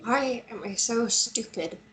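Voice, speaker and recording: A woman's voice: fairly high pitch, a little slow, fairly bright tone, slight background noise, rough recording. A woman playing a playful, vulnerable young woman in a table read of a romantic fantasy drama, with casual, teasing phrasing. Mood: self-reproachful.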